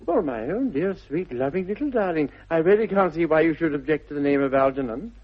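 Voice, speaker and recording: Lovesick posh young victorian gent, british, husky, hems and gushes, old radio comedy, muffled tape. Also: male voice, on the high side, expressive, a little fast. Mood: flustered, pleading. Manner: hesitant, hemming, gushing, plummy. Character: smitten, flustered upper-class young Victorian dandy. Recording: dull vintage 1960s radio recording.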